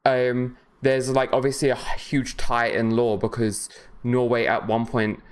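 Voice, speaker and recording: A man's voice: mid-range voice, little pitch movement, a little slow, a little hiss or hum. A young man chatting on a livestream, tired after a bad night's sleep, rambling and joking with lots of filler words. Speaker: streamer. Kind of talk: casual livestream chat.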